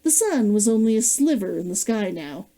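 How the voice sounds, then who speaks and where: A woman's voice: mid-range voice, big pitch swings, moderate pace, mellow. A woman author reading her own novel aloud, then chatting about writing and laughing often. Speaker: author and streamer.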